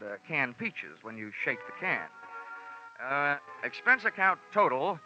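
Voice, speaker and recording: A man's voice: medium pitch, sharp tone. An actor playing a wry, hard-boiled insurance investigator narrating his case in 1940s radio acting. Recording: muffled old radio broadcast.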